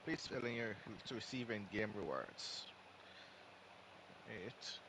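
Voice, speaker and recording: A man's voice: on the low side, lively intonation, slow pace, background noise. A man livestreaming a game, chatting casually and muttering through what is on screen. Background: game music.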